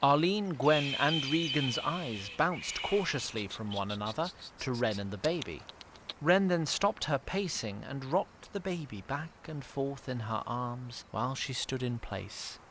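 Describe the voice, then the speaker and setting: A man's voice: mid-range voice, expressive, measured pace, noisy background. A man giving dramatic scripted narration for a fantasy audio drama.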